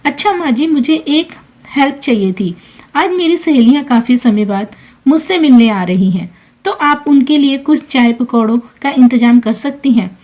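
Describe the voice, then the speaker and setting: A woman's voice: high-pitched, expressive, normal speed, darkish tone. A woman voicing a self-centred working daughter-in-law in a family story, breezy and entitled in manner. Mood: sweet-talking.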